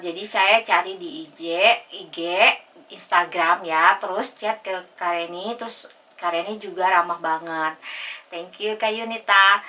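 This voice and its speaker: Female vlog host, chatty and informal, bright forward voice, recorded a little away from the mic. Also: fairly low pitch, recorded from a distance, poor audio. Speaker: YouTuber host. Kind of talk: casual vlog explainer, reading out chat messages.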